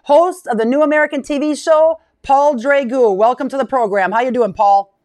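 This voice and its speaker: A woman's voice: high voice, moderate pace, darkish tone, no echo. A woman hosting an interview podcast, chatty and upbeat as she introduces and questions her guest. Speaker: podcast host.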